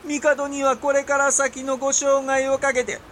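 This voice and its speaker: A man acting a haughty, gloating warrior ruler in a period voice drama, with a pompous, theatrical, drawn-out delivery. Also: very high voice, monotone, a bit bright, a little hiss or hum.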